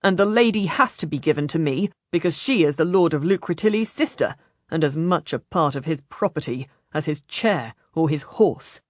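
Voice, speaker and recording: A stern, businesslike commander of a galley, played by a woman narrator, speaks in flat, curt orders. The voice is slightly low and forceful in intent, recorded close and dry for a storybook. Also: normal speed, no echo. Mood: flat, commanding.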